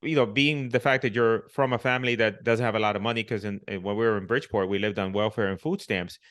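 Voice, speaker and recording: A man's voice: fairly low pitch, steady tone, fast, fairly bright tone. A middle-aged man from Puerto Rico, a podcast guest, telling his life story in casual, reflective talk with frequent fillers and restarts. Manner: reflective, many restarts and "you know" fillers.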